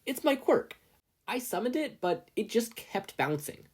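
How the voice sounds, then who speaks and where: A man's voice: extremely high pitch, very animated, measured pace, slightly rough, pitched above the performer's own voice, exaggerated voice. A man voicing an anxious, earnest fourteen-year-old boy who stammers and gets flustered in an audiobook story. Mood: nervous, earnest. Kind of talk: audiobook character voice.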